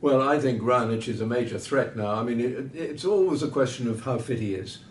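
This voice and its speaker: A man giving off-the-cuff tennis analysis, stating emphatic opinions in measured short phrases. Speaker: tennis pundit. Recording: roomy, distant mic with background rumble.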